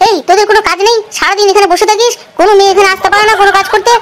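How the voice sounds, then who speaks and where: Fiery village sister-in-law for cartoon comedy, acted by a woman: piercingly high, fast-talking, cursing. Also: fairly even intonation. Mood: furious scolding. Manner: shrill rapid scolding. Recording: clearly noisy recording.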